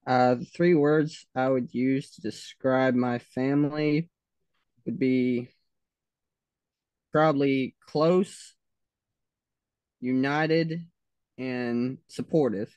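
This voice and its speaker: A man with a Southern US accent, answering interview questions slowly, with many pauses and fillers. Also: medium pitch, fairly even intonation, a little mellow, clear, resonant tone, no echo. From United States.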